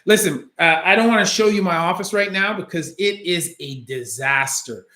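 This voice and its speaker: A Canadian man with a slightly high voice and a faint Jamaican trace in his accent. He answers viewer questions as a doctor in a measured, halting way, full of ums and uhs. The sound bounces around his office. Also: sharp tone. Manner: thoughtful and measured, with many ums and uhs and restarts. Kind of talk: casual question-and-answer livestream. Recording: roomy echo in a room.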